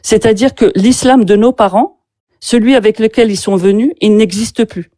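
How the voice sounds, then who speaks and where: A French-born woman interviewer asking pointed questions in a debate, speaking haltingly with many fillers and restarts. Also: medium pitch, mellow, no room sound, clean studio sound. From France.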